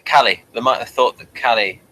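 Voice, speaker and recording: Bright-voiced man in casual review chat. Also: mid-range voice, fairly quick, a little hiss or hum, low-quality recording. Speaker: review show host. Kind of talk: casual review chat, partly reading aloud.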